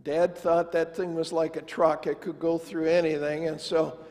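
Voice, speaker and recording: Older man, pastor, folksy storytelling sermon, high voice, long church reverb. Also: little pitch movement, moderate pace, a little mellow. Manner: folksy, conversational, acts out family dialogue. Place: large church sanctuary, standing before the altar.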